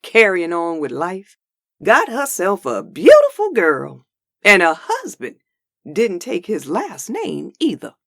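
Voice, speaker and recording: A woman's voice: on the low side, huge pitch swings, takes it slowly, creaky, a bit bright, no room sound, studio quality, exaggerated voice. A woman voicing a devout, loving, feisty old grandmother in a comic dub, speaking in a folksy dialect. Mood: wistful, tender. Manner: folksy Southern-style dialect, drawn out.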